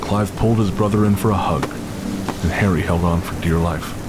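A man's voice: deep voice, steady tone, normal speed, very noisy. A man narrating a scripted audio drama in a dramatic storytelling style. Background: loud music with rain and thunder.

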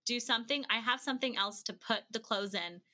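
A woman's voice: fairly high pitch, fairly quick, bright, forceful tone. A woman speaking as an interview guest, sharing tips and anecdotes in a casual, conversational way. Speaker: interview guest, traveling mother.

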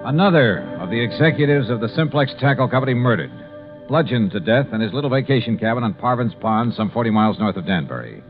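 An American actor playing a brisk, sharp-minded insurance investigator in 1950s radio drama, clipped, probing and assured. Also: male voice, medium pitch, animated, music in the background, close to an everyday voice. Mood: sober narration. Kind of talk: 1950s radio drama acting and first-person narration. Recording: dull 1950s radio recording.

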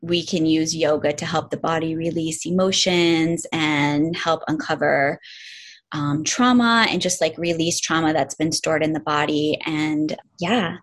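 A woman in her forties from upstate New York, a yoga and self-love teacher, talking reflectively as an interview guest with many "like" fillers. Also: sits low. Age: 40s. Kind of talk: casual interview chat.

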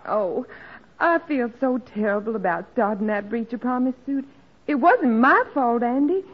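A woman's voice: a little high, lively intonation, moderate pace. An actress playing a sweet, apologetic, lovelorn woman in 1940s radio comedy, with a plaintive, coy delivery. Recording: muffled old-time radio recording.

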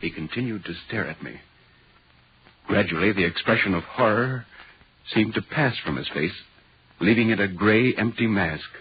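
Inquisitive reporter, expressive old radio voice. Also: male voice, lowish voice, moderate pace, long pauses. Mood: eerie narration. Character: inquisitive, polite London newspaper reporter recounting an eerie tale. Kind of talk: 1940s radio drama narration and dialogue. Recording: dull, hissy 1940s radio recording.

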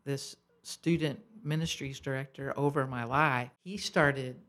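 A woman's voice: a bass voice, fairly even intonation, unhurried, a bit bright. A woman, a guest author, sharing her faith story in an earnest, filler-laden conversational way. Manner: earnest, testimonial, many fillers.

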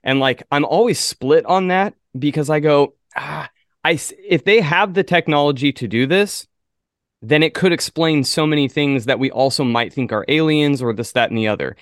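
A man co-hosting a podcast, musing out loud in a casual conversational way. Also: a little high, normal speed, no echo, clean studio sound. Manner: musing, thinking aloud in questions. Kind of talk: casual chat.

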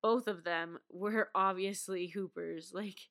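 A young woman hosts a basketball podcast in a loose, chatty way, peppering her talk with "like" and quick laughs. Her voice sits a little low and sounds congested from a cold. The recording is dry and close, with no room sound. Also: fairly even intonation, fairly bright tone, no room sound. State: stuffed up, congested. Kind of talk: casual chat.

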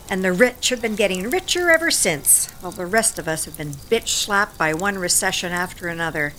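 A woman's voice: medium pitch, pushed and bright, a little hiss or hum. An actress playing a proud, weary older American working woman in an audio drama, defensive and sardonic, with blunt folksy talk.

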